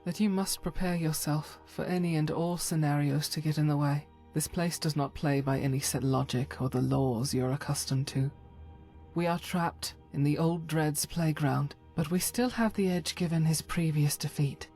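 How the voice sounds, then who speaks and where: A woman voicing a devout, weary female warrior of a holy order in a fantasy game, earnest and solemn, with a husky, breathy voice and halting formal phrasing. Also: low voice, steady tone, mellow, faint music behind. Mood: solemn, determined. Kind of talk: voice acting for fantasy game companion.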